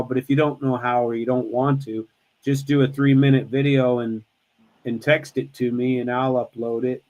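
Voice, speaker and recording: A man's voice: medium pitch, a little mellow, dull audio. A man in his sixties hosting a live stream, chatting casually with family, rambling and joking with many restarts. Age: 60s.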